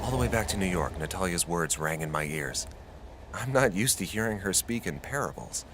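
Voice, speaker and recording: An actor with an American accent playing an idealistic, dogged radio journalist in a radio play, earnest and impassioned. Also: male voice, low-pitched, expressive, fairly quick, background noise. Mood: brooding narration. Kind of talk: radio play acting and first-person narration.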